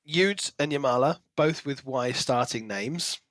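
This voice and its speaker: A man's voice: mid-range voice, a little slow, a bit bright, no room sound. A man co-hosting a podcast, casually discussing a novel and musing aloud in questions. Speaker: book podcast co-host.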